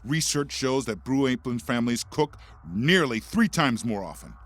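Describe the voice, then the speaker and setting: An actor playing a menacing, monstrous, contemptuous announcer with a gravelly, raspy voice, reading scripted copy theatrically. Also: male voice, mid-range voice, lively intonation, moderate pace, fairly bright tone. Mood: ominous salesmanship. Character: menacing monstrous male announcer scolding his co-hosts, bleakly contemptuous. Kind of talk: in-character scripted sponsor read.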